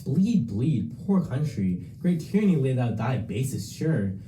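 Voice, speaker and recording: A man's voice: mid-range voice, big pitch swings, measured pace, mellow, slight background noise. A young man playing a passionate, loyal nobleman in a student Shakespeare dramatization, declaiming archaic verse with emotion. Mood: bitter despair. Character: passionate, loyal Scottish nobleman, anguished for his country.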